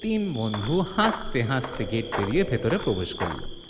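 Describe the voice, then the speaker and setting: A man's voice: medium pitch, big pitch swings, normal speed, long reverb tail, noisy background. A man narrating a scripted story theatrically, with suspense and wry colloquial humour.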